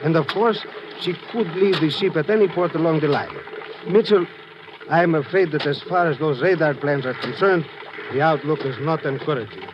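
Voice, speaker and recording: An actor playing a formal, businesslike Greek police lieutenant who gives precise orders in a 1950s radio drama. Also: male voice, a little high, normal speed, close to an everyday voice. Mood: grave. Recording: muffled, noisy old radio recording.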